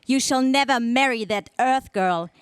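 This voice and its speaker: A haughty space princess from a radio adventure, acted by a woman. She declaims threats in a high, closely recorded voice, jealous and commanding. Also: unhurried, clean tone, no echo, studio quality, lightly acted. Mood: threatening. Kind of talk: 1930s space serial radio acting.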